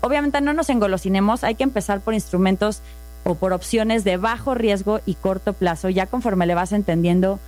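A woman's voice: mid-range voice, fairly quick, darkish tone. Patient Mexican woman explaining finance. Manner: patient, methodical, explains with worked examples. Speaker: finance guest. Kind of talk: casual explanatory chat.

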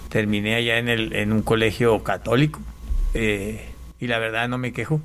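A middle-aged man from Panama giving a conversational personal testimony as an interview guest, often repeating words and clearing his throat as he goes. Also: fairly low pitch, steady tone, normal speed, a bit bright. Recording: clearly noisy background.

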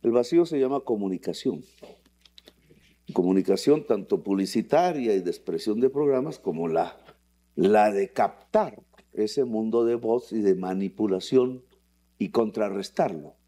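A man with a very dark, mellow voice and lowish pitch answers an interview question. He explains his view in a measured, steady way. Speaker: interview guest.

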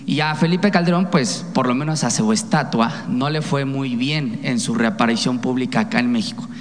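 A man's voice: medium pitch, moderate pace. A Mexican man, a reporter putting a long, formal and somewhat hesitant question at a press conference. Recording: long hall reverb.

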